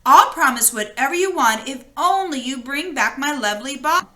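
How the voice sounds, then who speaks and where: A woman's voice: high-pitched, animated, normal speed, sharp tone. A woman voicing a spoiled, dramatic young princess in a storybook fairy tale. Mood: eager promising.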